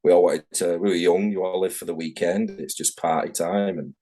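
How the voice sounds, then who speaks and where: Deep-voiced Manchester man telling his story. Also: fairly even intonation, a little fast, warm tone. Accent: Manchester English. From England, Manchester. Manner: rambling, frank, self-correcting. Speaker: tattoo artist guest. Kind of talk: casual interview chat. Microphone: video call.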